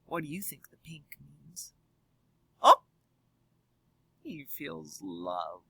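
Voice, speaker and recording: A woman's voice: low voice, sing-song, drawn-out words, vocal fry, pushed and bright, slightly rough, no echo. A woman teacher reading aloud and explaining to children, speaking expressively and addressing them directly. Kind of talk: children's read-aloud and lesson.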